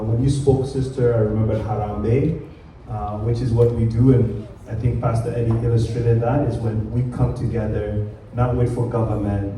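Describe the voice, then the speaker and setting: Man from Kenya, panel speaker: earnest, emphatic, dark and mellow voice, heard from afar in a reverberant hall. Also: medium pitch, slight background noise. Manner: earnest, emphatic, with frequent fillers and restarts. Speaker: community panelist. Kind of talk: public speech at a summit panel. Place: large conference hall. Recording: echoey hall, voice from far off.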